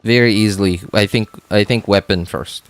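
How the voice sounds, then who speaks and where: A man chatting informally as a game streamer, answering viewers in a halting, repetitive way. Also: lowish voice. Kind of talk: casual live-stream chat, answering viewers.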